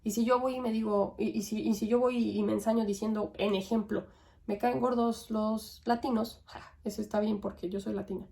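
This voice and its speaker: A woman's voice: on the high side, steady tone, fairly quick, creaky, mellow. A young woman with a Mexican accent and a slightly raised voice talks through her thoughts as a podcast host. Her delivery is conversational and musing, full of little tag questions and fillers. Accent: Mexican Spanish. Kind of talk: reflective monologue.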